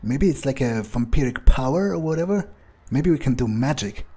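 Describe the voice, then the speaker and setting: A man's voice: medium pitch, lively intonation, normal speed, warm tone, husky. A man playing a resentful, suspicious fledgling vampire, grumbling his thoughts aloud hesitantly in an improvised in-character video game playthrough. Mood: grudging, wondering. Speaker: gaming video player.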